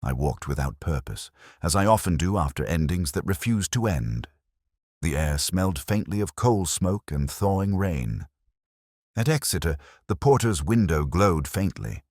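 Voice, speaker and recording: A very low-voiced, melancholy police inspector played by a man recounts a case like a literary mystery. His husky voice moves expressively through measured, somber reflections. The recording is dry and close. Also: sing-song, a little mellow, dry recording. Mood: weary, reflective. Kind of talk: scripted first-person literary mystery narration.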